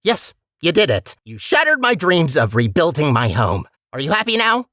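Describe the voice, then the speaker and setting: A man's voice: fairly high pitch, wildly swooping pitch, normal speed, pushed and bright, no echo, clean studio sound, full character voice. A man voicing a talkative robot core in a video game, a petty, scheming villain with a sarcastic, theatrical and condescending manner. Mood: mocking, scornful. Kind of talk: video game character acting.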